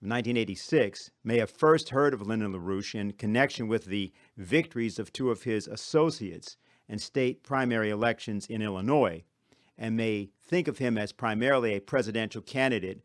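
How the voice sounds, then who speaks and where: A man giving a prepared, formal presentation, breaking long sentences into measured phrases. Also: lowish voice, no echo, clean studio sound. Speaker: conference presenter. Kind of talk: prepared speech.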